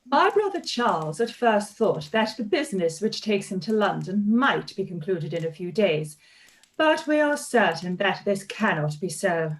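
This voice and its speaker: A woman's voice: mid-range voice, lively intonation, normal speed, close to an everyday voice, low-quality recording. A woman playing a smug, falsely affectionate society lady reading out her letter in a period radio play, affected and formal. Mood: smug politeness.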